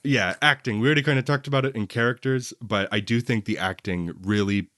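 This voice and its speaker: A man's voice: medium pitch, brisk, a bit bright, no echo. A man co-hosting a film podcast, talking casually and explaining his opinions in a conversational way.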